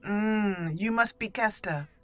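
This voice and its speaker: A woman's voice: medium pitch, takes it slowly, fairly bright tone, no room sound, faint music behind. A woman hosting a video game roleplay let's play, talking in a conversational, explanatory way. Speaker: gaming channel host. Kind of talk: roleplay let's play commentary, reading character dialogue in between.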